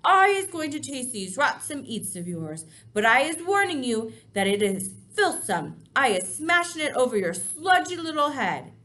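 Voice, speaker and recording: A woman's voice: a little high, very animated, measured pace, hardly any pauses. A woman voicing a huge, greedy male giant who roars and mangles his words. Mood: angry bellowing. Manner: roaring, shouting, mangled words.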